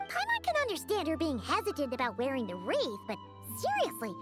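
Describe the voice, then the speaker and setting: A woman voicing a tiny, chirpy, childlike fairy companion in a video game, excitable and nosy, with a squeaky voice. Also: fairly quick. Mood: chatty. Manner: refers to self in third person, exclamatory. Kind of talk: animated video game voice acting. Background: music.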